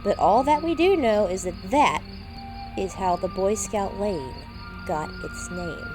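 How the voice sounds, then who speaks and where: A woman's voice: medium pitch, lively intonation, unhurried, lightly acted. A woman playing a studious talking engine in an audio drama, with an earnest storytelling manner. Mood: eerie, earnest. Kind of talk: audio drama character acting. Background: music, night insects.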